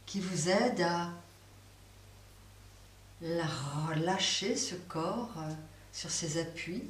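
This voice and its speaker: A woman teaching a guided yoga nidra relaxation, speaking softly with long pauses. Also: on the low side. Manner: slow, soft, pausing guidance. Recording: roomy, distant mic with faint hiss.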